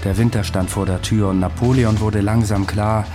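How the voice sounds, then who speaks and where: An actor playing a world-weary, sceptical military adviser, speaking in a blunt, sober way in a radio drama. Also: male voice, fairly low pitch, fairly even intonation, normal speed, over music, natural voice. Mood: sober recounting. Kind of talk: radio drama acting and narration.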